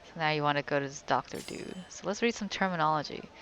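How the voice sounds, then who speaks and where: A woman's voice: low-pitched, fast, a bit bright, slight background noise. A young woman giving casual let's play commentary as a game streamer, often murmuring to herself.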